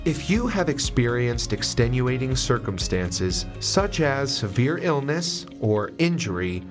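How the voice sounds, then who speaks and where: Male explainer narrator with lively intonation. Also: mid-range voice, measured pace. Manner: clear, instructional delivery. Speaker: voice-over narrator. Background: music.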